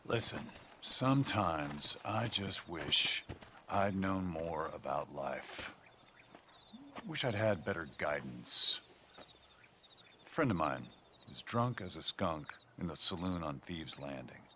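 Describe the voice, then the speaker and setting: A man playing an enigmatic, faintly menacing gentleman stranger in a western game, speaking in a measured, needling manner. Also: low-pitched, expressive, exaggerated voice. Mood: cryptic, calm. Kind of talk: video game cutscene acting. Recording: faint outdoor insect noise.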